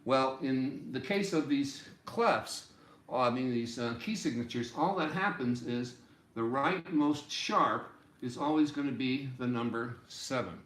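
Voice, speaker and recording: A man in his sixties giving an informal music lesson, explaining deliberately and step by step in a small room. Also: mid-range voice, fairly bright tone. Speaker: music teacher. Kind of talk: informal instructional explanation at a whiteboard. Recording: roomy, echoey home room sound.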